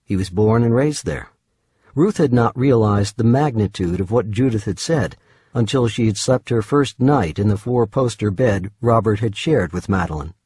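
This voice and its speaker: A man's voice: lowish voice, animated, moderate pace, darkish tone, breathy edge, no room sound. A man narrating fiction from a script as an audiobook reader, recorded in a studio. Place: dry studio.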